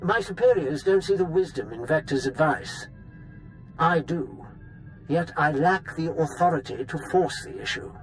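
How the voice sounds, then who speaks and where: An actor playing an ambitious, scheming political operator in a video game, formal, deliberate and persuasive in his speech. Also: fairly high pitch, animated, faint music behind, poor audio. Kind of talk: video game cutscene acting.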